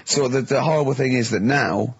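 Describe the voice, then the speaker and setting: Husky man, dull lo-fi sound. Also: mid-range voice, fairly quick. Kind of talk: casual comic radio chat. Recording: dull, lo-fi radio recording.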